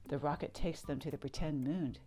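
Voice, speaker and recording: A woman reading a children's picture book aloud, narrating in an expressive sing-song way. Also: deep voice, brisk, soft background music. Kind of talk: read-aloud storybook narration.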